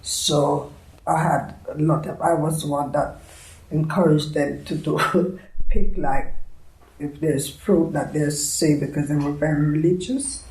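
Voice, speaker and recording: A woman in her seventies with a Jamaican accent, recalling her life haltingly in an oral history interview. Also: low voice, fairly even intonation, mellow. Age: 70s. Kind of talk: reminiscing in an interview. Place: indoors at an arts centre. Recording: echoey room.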